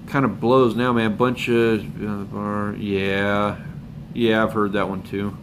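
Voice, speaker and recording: A man hosts a fishing channel live stream from home, chatting loosely with viewers and sounding hoarse from a cold. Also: fairly low pitch, flat delivery, takes it slowly, noisy background. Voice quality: rambling, laid-back, with chuckles and filler words. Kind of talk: casual live stream chat. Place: home room with bookshelves and a window. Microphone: phone camera up close.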